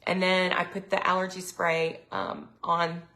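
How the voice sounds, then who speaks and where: A middle-aged woman, chatting casually on a live sales stream, her throat a little raspy from allergies. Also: lowish voice, a little slow, bright, forceful tone, slight background noise. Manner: chatty, lots of ums, reads viewer comments aloud. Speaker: product consultant hosting a live sales stream.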